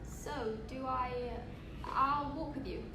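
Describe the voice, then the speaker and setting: High-pitched teenage schoolgirl, read by a woman, echoey. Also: steady tone, a little slow, bright, forceful tone. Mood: frank, casual. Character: confident, blunt teenage schoolgirl. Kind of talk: stage play table read. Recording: echoey room with background noise.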